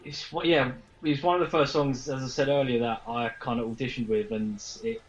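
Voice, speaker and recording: A man's voice: medium pitch, fairly even intonation, normal speed. A man chatting casually as a band member being interviewed, on slightly muffled audio. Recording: dull, lo-fi podcast audio.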